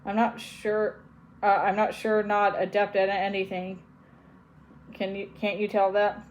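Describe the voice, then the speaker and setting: A woman's voice: medium pitch, level tone, unhurried, a little hiss or hum, natural voice. A woman voicing a frightened young girl who stammers and pleads in a storybook reading. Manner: stammering, timid.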